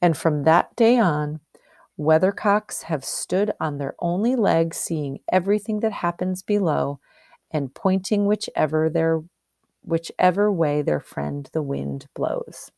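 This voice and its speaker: Lady teacher reading a kids book, slightly low voice, explains stuff and asks questions, really dry sound. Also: unhurried, gravelly, a little mellow, no room sound. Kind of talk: storybook read-aloud with teaching questions.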